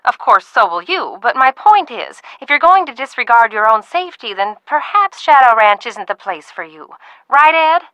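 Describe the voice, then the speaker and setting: An actress playing a fussy older aunt who runs a ranch in a video game, genteel and stern, often calling people dear. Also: female voice, fairly high pitch, very animated, moderate pace, sharp tone, no echo, rough recording. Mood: prim scolding. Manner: prim, genteel scolding with frequent "dear".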